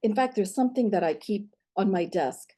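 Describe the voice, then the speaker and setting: A middle-aged woman shares a personal story in an interview. Her voice is mellow and warm at an ordinary pitch. She speaks in a reflective, measured way, often pausing on a "you know". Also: expressive. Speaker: guest storyteller.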